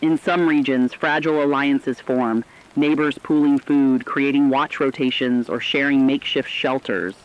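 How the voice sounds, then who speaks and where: Slightly muffled audio carries a mid-pitched man acting as a radio co-anchor. He is somber and earnest, giving formal scripted catastrophe reports and stressing each item in his lists, in radio drama style. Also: natural voice. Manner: earnest, formal, emphatic listing.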